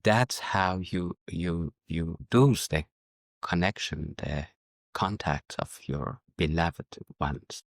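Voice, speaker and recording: A middle-aged man from Afghanistan whose first language is Farsi, answering interview questions in a measured, reflective way and often restarting his sentences. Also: low voice, vocal fry, no room sound, clean studio sound. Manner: measured, searching for words with frequent restarts. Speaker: guest, diplomat and educator.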